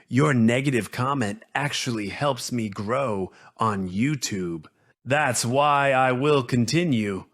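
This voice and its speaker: A male narrator delivers a scripted documentary essay at an unhurried, slow pace. His mid-pitched voice is earnest and dramatic, setting out short rhetorical phrases with weight. The recording is close and dry, with no room sound. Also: a bit bright, no echo. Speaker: narrator and host of a video essay channel.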